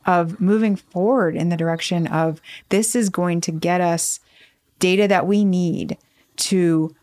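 Lowish-voiced woman scientist in a dry room. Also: fairly even intonation, measured pace, a little mellow, dry recording. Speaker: principal investigator, scientist guest. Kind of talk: explanatory interview answers.

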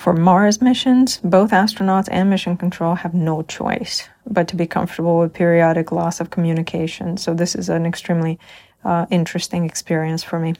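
Low, gravelly woman giving a measured log. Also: level tone, darkish tone. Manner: thoughtful, measured, earnest. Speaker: crew member in a Mars simulation habitat. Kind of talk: reflective audio log.

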